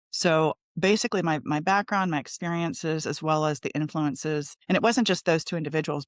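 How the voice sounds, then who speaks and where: A woman's voice: low voice, dry recording, clean studio sound. A middle-aged woman with an American accent tells her story in a candid, informal interview style. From Texas, United States. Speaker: podcast guest, lawyer and coach.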